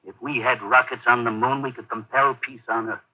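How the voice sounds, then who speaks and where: A man's voice: medium pitch, level tone, fairly quick, close to an everyday voice. Man playing a grave general, muffled old radio. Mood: grave, urgent. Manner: grave, authoritative. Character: weary, authoritative male Air Force general. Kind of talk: 1950s radio drama acting. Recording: dull old radio broadcast.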